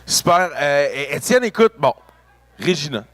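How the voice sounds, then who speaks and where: Male reporter backstage, fairly high voice, halting, close dry sound. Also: big pitch swings, fairly bright tone, no echo. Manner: hesitant, stumbling over words. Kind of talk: casual interview. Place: backstage at a concert venue.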